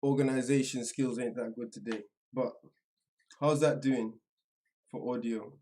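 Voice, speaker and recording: A man hosting a live stream in casual, slangy chat, halting and trailing off as he goes, a little away from the microphone. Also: medium pitch, fairly even intonation, dark tone, a little hiss or hum. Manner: informal, slangy address to viewers; halting, distracted, trailing off mid-sentence. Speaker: livestream host. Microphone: some distance from the microphone.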